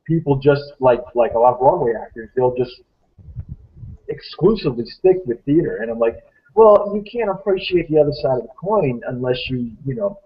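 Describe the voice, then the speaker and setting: A man's voice: medium pitch, animated, moderate pace. A man in his thirties hosts a loose live-stream chat. He rambles, doubles back on his words and argues his view with conviction. His mid-range voice sounds dull and muffled, as if recorded on a lo-fi setup. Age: 30s. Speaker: host. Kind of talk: casual panel chat.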